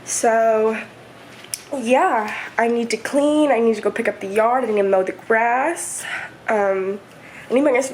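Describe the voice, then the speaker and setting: A woman in her twenties, chatting casually and rambling through a home vlog, her voice hoarse and stuffy. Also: on the high side, measured pace, a little mellow, a little hiss or hum, rough recording. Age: 20s. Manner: rambling, chatty, many fillers. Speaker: vlogger. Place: home, indoors. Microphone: camera set up nearby.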